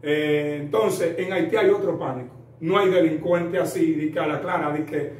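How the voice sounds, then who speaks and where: A man's voice: fairly high pitch, fairly even intonation, moderate pace, darkish tone. A man in his thirties from the Dominican Republic with a Dominican Spanish accent, a preacher telling his story earnestly as an interview guest, addressing the listener formally and using many fillers. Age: 30s. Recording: roomy echo, distant mic, faint noise.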